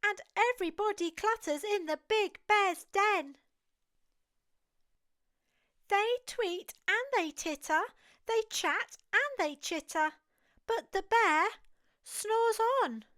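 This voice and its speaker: A woman with an English accent reading a bedtime story to toddlers in a measured, deliberate storybook delivery. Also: extremely high pitch, a bit bright. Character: dog storyteller who reads picture books to small children. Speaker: children's storyteller. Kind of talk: slow read-aloud bedtime story for toddlers.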